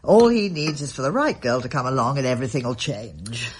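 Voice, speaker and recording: Very deep, husky older woman. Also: animated, a little fast, exaggerated voice. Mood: resigned. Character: older mother, resigned and quietly in denial. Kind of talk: radio drama acting.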